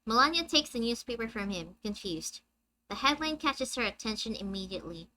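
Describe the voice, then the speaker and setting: A young woman with a high-pitched, squeaky voice, chatting with her viewers on a live game stream and reading the story text aloud. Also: sing-song, fairly quick, pushed and bright, slight background noise, poor audio. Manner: chatty, reads dialogue aloud and answers chat.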